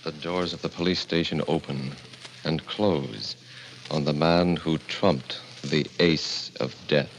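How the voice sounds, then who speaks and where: A man announcing a 1940s radio horror show in an ominous, deliberate, theatrical manner. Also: a bass voice, fairly even intonation, noisy background. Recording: old radio broadcast, loud organ-style music.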